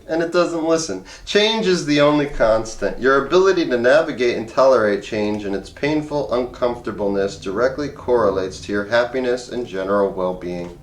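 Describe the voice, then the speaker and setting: A man reading a comic memoir aloud in a wry, conversational audiobook style. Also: mid-range voice. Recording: roomy, echoey voice recording.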